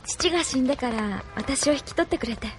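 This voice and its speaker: A woman's voice: high-pitched, expressive, brisk, slight background noise. An actress playing a polite, earnest young woman in an anime audio drama. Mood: wistful. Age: young adult.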